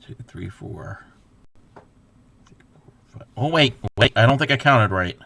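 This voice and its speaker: Male crochet streamer, bright voice, hushed stitch counting, easygoing chat with viewers. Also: mid-range voice, lively intonation, takes it slowly, creaky, a little hiss or hum. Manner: counts stitches softly under his breath between chat; laughs at himself, chats back to viewers. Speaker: crochet livestreamer. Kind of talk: casual livestream chat while crocheting.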